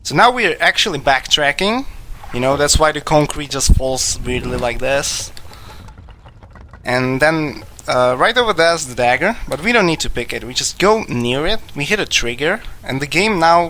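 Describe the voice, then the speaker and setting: A young man whose first language is Czech, calmly explaining tricks during a live speedrun in English, with many fillers. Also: mid-range voice, moderate pace, a little hiss or hum. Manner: relaxed, explanatory, frequent fillers.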